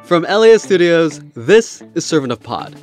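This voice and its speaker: A man narrates as a podcast host. His pitch moves up and down in an animated way as he reads a script, and faint music sits behind him. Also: moderate pace. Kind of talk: scripted narration with interview chat.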